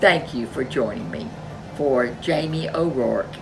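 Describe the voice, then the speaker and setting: A woman reading a folk tale aloud as a storyteller, expressive and animated. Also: extremely low pitch, unhurried, vocal fry, over music, poor audio. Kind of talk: storybook read-aloud narration.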